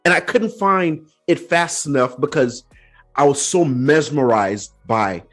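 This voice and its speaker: A Nigerian man hosting a podcast, telling a story in a relaxed, animated way and acting out the voices he quotes. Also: a little high, measured pace, soft background music. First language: Yoruba. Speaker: podcast host. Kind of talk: casual storytelling chat.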